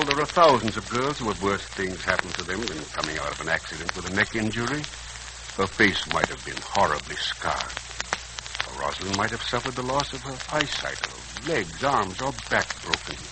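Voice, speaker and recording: An older man playing a kindly, philosophical uncle in a 1940s radio serial, speaking in a measured, folksy, moralizing way. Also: low voice, animated. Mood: gentle, consoling. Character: kindly, philosophical elderly uncle, gently reassuring. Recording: crackly, hissing 1940s radio recording.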